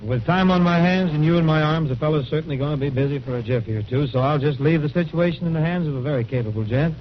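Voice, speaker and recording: A man's voice: a little high, fast talker. A man delivering scripted narration in a vintage radio style, with a dull, old-fashioned sound. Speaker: narrator of a radio history montage.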